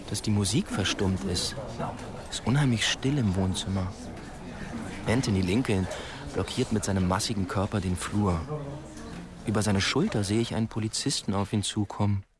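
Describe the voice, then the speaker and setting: A man's voice: fairly low pitch, natural voice. An actor playing a cheeky, curious teenage boy in a radio drama, in dialogue and first-person narration.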